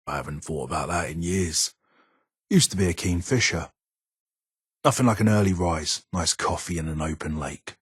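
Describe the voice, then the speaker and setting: An actor playing a gruff, guarded, middle-aged English gunsmith in a video game, speaking in a curt, grumbling way with a husky rasp. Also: male voice, deep voice, no room sound, clean studio sound. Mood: wistful. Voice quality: husky, raspy. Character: gruff, weary, guarded English male gunsmith, survivor of captivity. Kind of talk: video game character dialogue.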